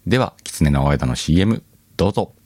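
A man's voice: a bass voice, expressive, fast talker, darkish tone, dry recording, clean studio sound. A man hosting his own audio show, chatting casually and musing aloud to his listeners. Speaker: host of an audio channel. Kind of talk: casual solo radio-style chat. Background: faint music.

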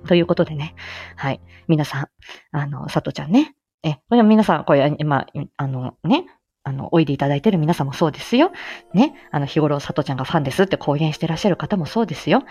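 Woman livestreamer chatting casually, lowish voice, humble n gushy but playfully feisty, soft music behind. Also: animated, fast talker, breathy edge. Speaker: livestream host, amateur voice actor.

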